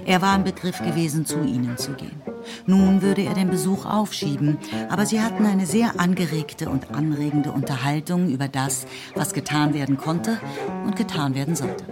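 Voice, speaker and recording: A woman narrates a classic novel in a measured, storytelling way. Her voice is low and deep for a woman. Clear music plays underneath her. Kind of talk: scripted literary narration.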